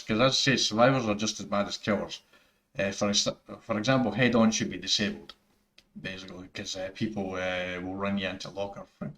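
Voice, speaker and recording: Man, thick Scottish accent, lowish voice, rambling gameplay commentary, repeats himself. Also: fairly bright tone. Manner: earnest, opinionated. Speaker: gaming YouTuber. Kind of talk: opinion commentary over gameplay footage.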